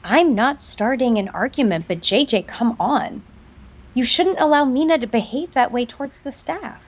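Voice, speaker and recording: A woman's voice: fairly high pitch, animated, moderate pace. A woman acting in an audio soap opera as a stern, critical older sister and company executive. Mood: reproachful. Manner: firm, reproachful.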